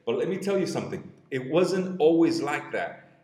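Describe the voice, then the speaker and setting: A man in his sixties from Cuba with a Cuban Spanish accent, a business coach telling a personal story in an earnest way, slowing and softening at emotional moments. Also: a little high, big pitch swings. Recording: roomy echo.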